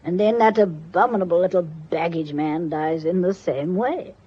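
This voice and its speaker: An actress playing an imperious, inquisitive old grandmother in a British radio drama, speaking in a stately, deliberate way. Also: lowish voice, warm tone, breathy edge. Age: older. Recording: dull lo-fi radio recording.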